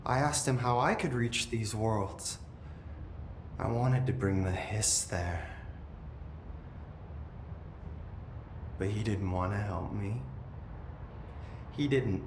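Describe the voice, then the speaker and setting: A man's voice: slow pace, long pauses. An actor playing a cryptic, brooding, unhinged young man held captive in a video game. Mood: bitter, cryptic. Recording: echoey room with low rumbling noise.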